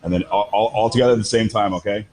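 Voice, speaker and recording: A man's voice: on the low side, steady tone, fast, darkish tone. A man hosting an informal on-location segment, talking to a group as he records. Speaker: podcast host recording a segment at an auto shop. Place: auto repair shop. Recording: noisy on-location clip with clicks.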